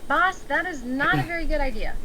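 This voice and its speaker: A woman's voice: high-pitched, expressive, fairly quick, a crowd in the background. A woman acting a bold, short-tempered female gangster with a defiant manner. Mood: protesting. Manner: sharp, defiant. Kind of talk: script table read.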